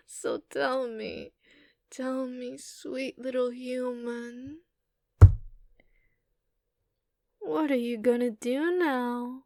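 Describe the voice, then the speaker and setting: Woman voicing a slow, haughty, doting giantess dragon. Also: fairly high pitch, steady tone, darkish tone, no room sound, studio quality. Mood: possessive, menacing. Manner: unhurried, condescending, teasing, with drawn-out hums. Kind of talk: ASMR roleplay.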